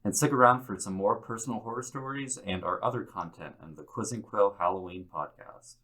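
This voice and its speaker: A man's voice: fairly low pitch, fairly quick, pushed and bright. A man hosting a podcast, presenting and introducing segments. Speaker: podcast host.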